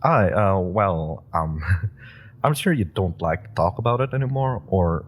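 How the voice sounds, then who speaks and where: Rookie hero voiced by a man, deep-voiced, slow, flustered and rambling. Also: expressive. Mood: eager. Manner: rambling and flustered, stammers and laughs nervously. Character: nervous, eager young male superhero rookie. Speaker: audio roleplay performer. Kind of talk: audio roleplay drama.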